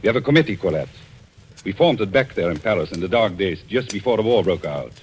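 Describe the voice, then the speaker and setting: An actor playing a vengeful, coolly controlled man in a 1940s radio suspense drama, speaking deliberately and theatrically. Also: low-pitched, animated, a little hiss or hum. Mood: cold, accusing. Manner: deliberate, theatrical, quietly menacing. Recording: old radio recording with music.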